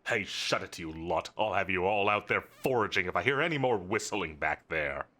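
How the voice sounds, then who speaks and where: A man's voice: low voice, animated, normal speed, pushed and bright, full character voice. A man playing a confident, teasing villain commander in a roleplay audio drama, drawling and flirtatious with a bossy edge. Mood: stern, commanding. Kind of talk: scripted roleplay audio drama addressed to the listener.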